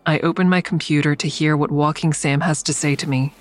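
A woman's voice: sits low, steady tone, brisk, no room sound, lightly acted. An actress in an audio drama playing an exhausted, defiant woman who tells of her ordeal in a grim, blunt way. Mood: weary, grim. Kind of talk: first-person dramatic narration in audio drama.

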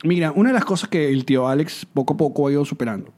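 A man's voice: fairly high pitch, very fast, darkish tone. A man, co-hosting a casual podcast chat, joking and laughing often. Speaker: podcast co-host.

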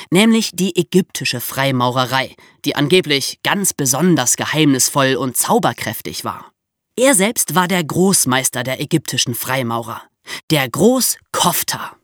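A woman's voice: low-pitched, no echo, clean studio sound. A woman hosting a history podcast, telling the story in an explanatory, emphatic way. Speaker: podcast host.

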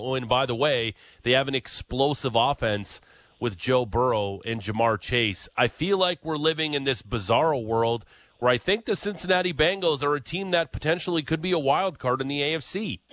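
A man's voice: mid-range voice, normal speed, a bit bright, no echo. A man hosting sports radio, announcing the guest and asking questions with playful emphasis.

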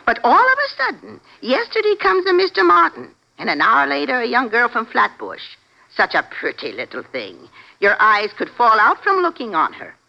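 Casting: anxious middle-aged New mama running a boarding house, bright lively swoops, muffled old radio drama. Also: female voice, a little high, moderate pace, gravelly, exaggerated voice. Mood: chatty, hopeful. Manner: emotional, folksy, fretful phrasing. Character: anxious, motherly boarding house proprietress with a grown son. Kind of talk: 1950s radio drama acting. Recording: muffled vintage radio broadcast.

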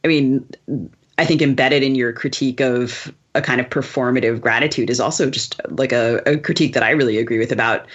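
A woman hosting a conversational podcast interview, thoughtful and halting as she circles toward her questions. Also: a bass voice, steady tone, husky, highs cut off. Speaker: podcast host.

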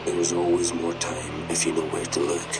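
A man's voice: deep voice, completely flat delivery, moderate pace. A man playing a cryptic, eerie figure heard on a recording in an audio drama. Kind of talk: audio fiction acting. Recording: distant, noisy recording with droning.

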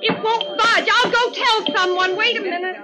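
A woman's voice: extremely high pitch, steady tone, normal speed. An American actress playing an exasperated, practical young wife and new mother in a 1950s radio drama. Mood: impatient. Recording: muffled old radio, loud music underneath.

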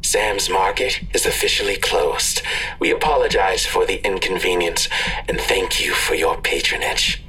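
An actor voicing a sinister, formal announcer for a vigilante website in an audio drama, reading out scripted notices. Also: male voice, low voice, normal speed, pushed and bright, faint music behind, poor audio. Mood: cold, formal.

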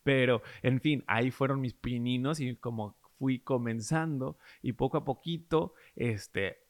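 A man's voice: medium pitch, unhurried. A man with a Mexican accent from Michoacán, hosting a casual interview, friendly and laughing along. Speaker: podcast host, radio announcer.

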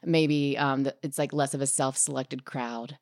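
A woman with a low voice talks casually as a guest filmmaker. Her phrasing is reflective and a little halting, and the sound is dry. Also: fairly even intonation, darkish tone, no echo. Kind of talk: casual conversation.

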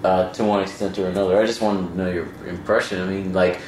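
A man hosting a casual conversation, asking relaxed, curious, halting questions. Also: low-pitched, a little hiss or hum. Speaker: host. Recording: roomy, faint ambience.

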